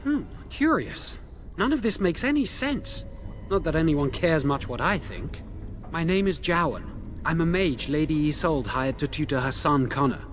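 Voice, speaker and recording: A man's voice: on the high side, lively intonation, normal speed, soft background music, natural voice. An actor playing a nervous, remorseful young male mage held in a dungeon, in a video game. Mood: rueful. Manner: anxious, remorseful, pleading.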